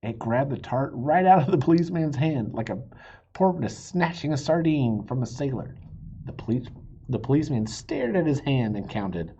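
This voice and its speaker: Husky guy reading a kids book, super animated pitch swings, bit gravelly, slightly muffled recording. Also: moderate pace. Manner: playful, expressive, chuckles while reading. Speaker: storybook reader. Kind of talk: animated children's story reading.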